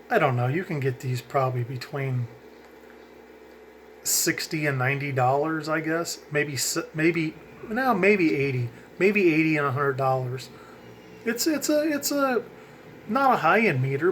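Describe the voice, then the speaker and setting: A man talks through his radio setup in a loose, conversational way, dropping ums and correcting himself as he goes. His voice sits a bit high for a man. A faint outdoor ambience runs under the recording. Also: lively intonation, unhurried, pauses often, a bit bright, slight background noise. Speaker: hobby radio vlogger. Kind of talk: casual how-to rambling.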